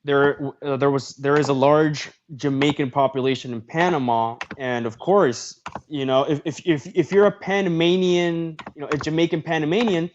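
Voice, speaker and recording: A man's voice: fairly high pitch, fairly even intonation, moderate pace, muffled recording, a little hiss or hum. A man hosting a livestream in a casual, talkative way, reading social media posts aloud and commenting on them. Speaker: streamer host.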